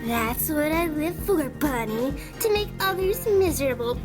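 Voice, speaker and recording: A woman's voice: high voice, animated, unhurried, music in the background. A woman voicing a gleefully malicious female animal criminal who taunts and drawls in an audio drama. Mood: spiteful, grudging.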